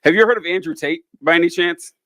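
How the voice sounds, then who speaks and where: Rambling male podcast host, fairly high voice. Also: fast, pushed and bright, no room sound. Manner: rambling, many fillers, swears casually. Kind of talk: casual chat.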